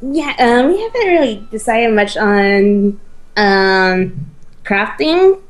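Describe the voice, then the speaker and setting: A woman co-hosting a casual let's play, chatting in a hesitant, halting way as she explains things. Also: fairly high pitch, very animated. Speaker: gaming co-host. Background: faint game music.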